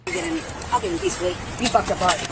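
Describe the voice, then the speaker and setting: A woman's voice: very high-pitched, wildly swooping pitch, very fast, gravelly, over a noisy crowd. A woman under arrest, recorded on body-cam footage, talking in a defiant way and swearing. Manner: defiant, cursing. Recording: body-cam footage with loud background noise.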